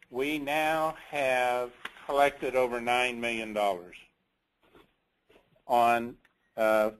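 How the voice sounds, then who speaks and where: A man presenting business results on an investor call as a company executive, in a measured, halting way with pauses mid-sentence. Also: mid-range voice, poor audio.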